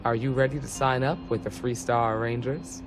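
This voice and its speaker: A woman's voice: very deep voice, steady tone, moderate pace, background noise. A woman playing an earnest, folksy female ranger recruiter in a video game. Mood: serious, measured. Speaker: recruiting officer. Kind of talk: video game character dialogue. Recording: game audio with loud music bed. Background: music.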